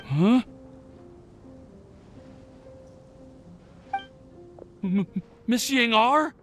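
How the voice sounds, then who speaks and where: A man's voice: very high voice, lively intonation, slow, a bit bright, soft background music, full character voice. An actor voicing a nervous, awkward, lovesick young man who stammers and hesitates, in an anime-style game. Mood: nervous, flustered.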